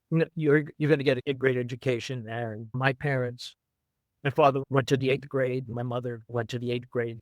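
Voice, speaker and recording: An older man from Brooklyn, a former runner and coach, telling stories in an interview in an unhurried, fond way with many fillers. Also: mid-range voice, dry recording. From Brooklyn, New York. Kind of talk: reflective storytelling.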